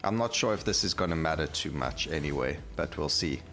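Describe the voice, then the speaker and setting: A man's voice: extremely low pitch, expressive, fairly quick, a bit bright, faint music behind. A man giving casual gameplay commentary as the host of a gaming video.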